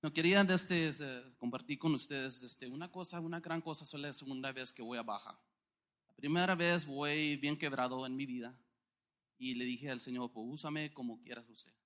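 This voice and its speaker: A man's voice: medium pitch, moderate pace, a bit bright. A man giving an informal church testimony, joking lightly and using many fillers.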